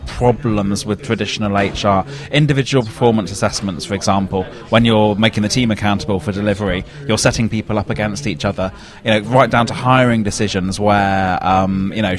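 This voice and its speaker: A man hosting an interview podcast, upbeat and enthusiastic, asking questions and often saying how great things are. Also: fairly low pitch. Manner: enthusiastic, lots of "awesome". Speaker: podcast host.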